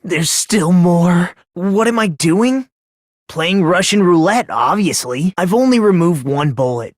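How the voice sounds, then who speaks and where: An actor voicing a frail-sounding, self-deprecating teenage boy obsessed with hope in a video game, soft and breathy, polite yet theatrical and unhinged. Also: mid-range voice, animated, measured pace, no room sound, clean studio sound. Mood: playful, whiny. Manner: polite, theatrical, swinging from gentle to fervent. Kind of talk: English video game voice acting.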